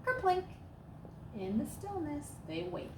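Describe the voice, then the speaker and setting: Animated, high-voiced woman reading a storybook. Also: takes it slowly. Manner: expressive, dramatic emphasis. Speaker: staff storyteller. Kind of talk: animated children's storybook read-aloud. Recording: roomy echo, clear background noise.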